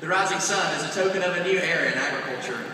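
A man's voice: a little high, very fast, crowd noise. Young man declaiming through arena PA. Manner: declaiming, formal. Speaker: vice president of a youth organization. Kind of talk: formal ceremonial recitation. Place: large arena. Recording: echoey arena PA from far off.

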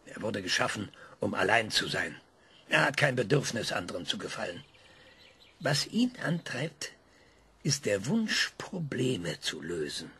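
Radio play: proud, gravelly scientist; lecturing, dramatic, drops to whispers. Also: male voice, lowish voice, big pitch swings, unhurried, exaggerated voice. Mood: brooding. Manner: lecturing, dramatic emphasis with sudden hushed whispers. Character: proud male scientist, sharp and scornful. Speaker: scientist being interviewed.

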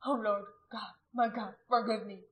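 A woman reader portrays a repentant warrior husband in a dramatic storybook reading. The voice sits at a middle female pitch, anguished and pleading as he prays. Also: fairly even intonation, moderate pace, a bit bright, natural voice. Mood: weeping remorse. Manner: groaning, pleading.

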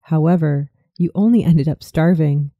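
A narrator reading a scripted guided visualization story in a soothing, measured manner. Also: mid-range voice, no echo, phone-quality sound.

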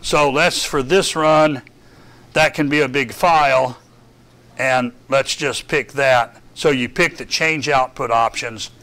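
Bright-voiced Texan man teaching a lab. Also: mid-range voice, steady tone, slow pace. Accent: Southern US, Texan. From Texas. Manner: casual, self-deprecating, thinks aloud with fillers. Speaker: lecturer. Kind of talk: software lab walkthrough. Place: dark lecture room with projector screen.

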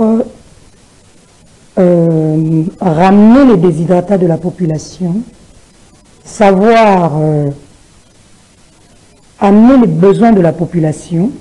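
A woman from Kinshasa with a Congolese French accent, answering studio interview questions as a political candidate, with a halting delivery. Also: mid-range voice, mellow, poor audio. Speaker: parliamentary candidate and party spokesperson. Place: television studio. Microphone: gooseneck desk microphone.

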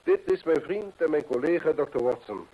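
An actor playing a keen, self-assured detective in a radio play, precise and emphatic, with a husky voice. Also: male voice, fairly high pitch, animated, normal speed, dull audio. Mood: composed. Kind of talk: Dutch radio play acting. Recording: old radio play recording.

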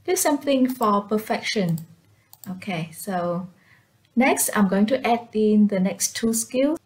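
A woman whose first language is Vietnamese, narrating a step-by-step software tutorial as its presenter. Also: mid-range voice, lively intonation, a little slow.

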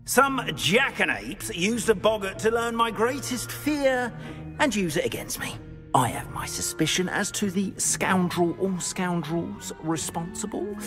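An actor playing a nervous, fussy middle-aged castle caretaker from Korea, apologetic and theatrical, in a video game. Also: male voice, high-pitched, big pitch swings, a little slow. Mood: frightened, indignant. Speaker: school caretaker. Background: game music.

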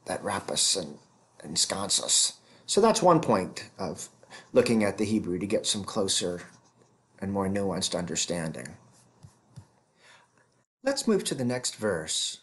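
A man in his fifties giving an explanatory theology lecture as a professor, speaking deliberately and stressing key words. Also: mid-range voice. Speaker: professor teaching scripture.

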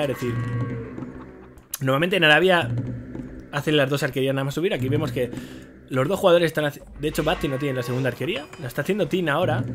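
Brief: young male esports caster, lively analytical commentary, headset mic. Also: a little high, noisy background. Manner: analytical, lively, reacts to plays. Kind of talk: live game commentary.

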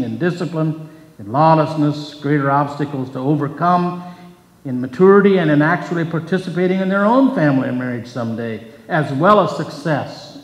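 Older male preacher, earnest measured delivery, slightly high voice, roomy church acoustics caught from a distance. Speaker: pastor. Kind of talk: sermon. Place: church sanctuary, at a pulpit. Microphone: pulpit microphone, far camera. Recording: echoey church hall from far off.

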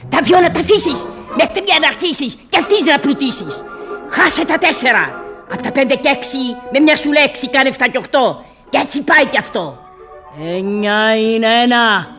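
A woman's voice: high voice, animated, soft background music. An actress in a radio drama playing an old witch, chanting spells in an incantatory, declamatory manner. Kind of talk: theatrical radio drama acting.

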